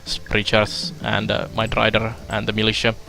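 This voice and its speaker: A young man from Finland giving casual let's play commentary, halting with fillers as he reads game text aloud. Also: lowish voice, monotone, creaky, bright, forceful tone. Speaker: streamer.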